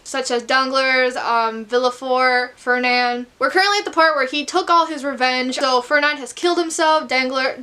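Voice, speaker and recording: A young woman chats into her camera at home about the novel she is reading. Her voice sits high and sounds bright and clear. She talks candidly, swears in passing, and keeps jumping into quick side comments. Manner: chatty, candid, swears casually, quick asides. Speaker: book vlogger. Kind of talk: casual vlog chat to camera. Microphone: camera mic.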